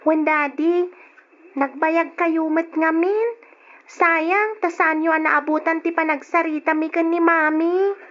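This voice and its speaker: A dutiful, polite schoolgirl daughter in a radio play. Her voice is extremely high, and the recording sounds muffled and old. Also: steady tone, unhurried, a bit bright. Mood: obedient, sweet. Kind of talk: Ilocano radio drama acting.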